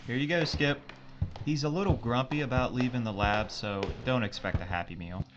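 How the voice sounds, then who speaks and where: A man's voice: mid-range voice, normal speed, natural voice. A man playing a wisecracking, casual spaceship crewman in a science fiction audio drama. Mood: joking. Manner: casual, sardonic. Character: wisecracking, laid-back male spaceship crewman, loyal to captain. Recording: dull band-limited audio with faint music.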